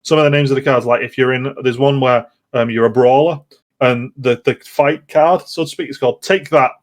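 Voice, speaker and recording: A man in a casual chat, explaining things in a halting, rambling way. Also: medium pitch, steady tone. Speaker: podcast host.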